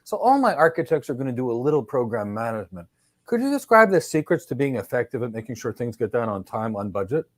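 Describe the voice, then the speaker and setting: A man's voice: mid-range voice, big pitch swings, fast talker, no room sound. A man conducting a mock job interview, asking questions in an upbeat, encouraging way.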